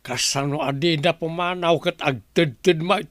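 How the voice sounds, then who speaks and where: A man's voice: on the high side, steady tone, unhurried, fairly bright tone, dry recording. A man playing a grumpy, bickering old husband in an audio drama.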